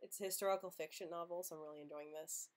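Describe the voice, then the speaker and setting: Young woman host who stutters, open and earnest, slightly low voice, casual live Q&A. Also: fairly even intonation, racing, a little mellow. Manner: stutters often, with repeated sounds and blocks; earnest, open, laughs easily. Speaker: livestream host answering viewer questions.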